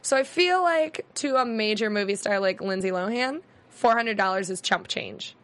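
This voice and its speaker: A young woman hosting a casual recap show, a bit hoarse, talking quickly and chattily. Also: medium pitch, lively intonation, fairly bright tone. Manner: chatty, quick asides, laughing. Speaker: host. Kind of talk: casual chat.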